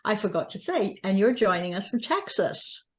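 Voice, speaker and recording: Woman cohost, encouraging, laughs a lot, middle pitch. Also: big pitch swings, normal speed. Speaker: podcast co-host. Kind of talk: podcast interview.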